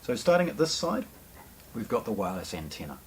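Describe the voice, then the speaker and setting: Low-pitched New man presenting a product. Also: very animated, fairly quick, a little hiss or hum, low-quality recording. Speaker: shop presenter hosting product unboxing video. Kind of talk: unscripted product walkthrough.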